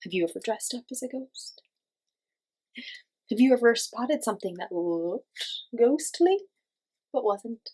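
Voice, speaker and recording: Expressive woman reading aloud to young children. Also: fairly high pitch, measured pace, long pauses. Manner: addresses children with questions and simple explanations. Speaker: children's read-aloud host and teacher.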